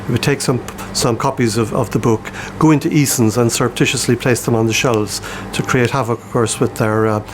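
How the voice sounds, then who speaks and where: An Irish man speaks at a middling pitch in an informal interview. He tells stories haltingly and with amusement, over clearly audible background noise with a vehicle-like rumble. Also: fairly even intonation. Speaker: poetry publisher being interviewed.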